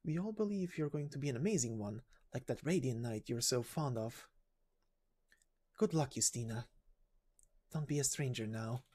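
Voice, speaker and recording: A woman's voice: extremely low pitch, expressive, normal speed, long pauses, mellow, slightly rough. A woman reading out a game's side story in character, as an amateur voice performer. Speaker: amateur narrator and voice actor of game stories. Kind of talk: character voicing of a game story.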